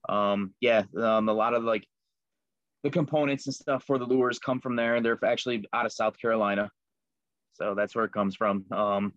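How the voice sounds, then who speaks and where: A man's voice: on the low side, fairly even intonation, normal speed, fairly bright tone, no echo. Laid-back guy rambling about his lures, lots of ums, dry kinda muffled audio. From Liverpool, New York. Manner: easygoing, many ums and fillers. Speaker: guest, maker of fishing lures. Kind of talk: casual interview chat.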